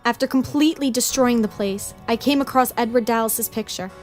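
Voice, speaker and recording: A woman's voice: fairly high pitch, fairly quick, faint music behind, lightly acted. An actress playing an anguished, remorseful woman turned killer in a motion comic, with a confessional, pleading delivery. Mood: bitter, confessing. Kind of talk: dramatic motion comic acting.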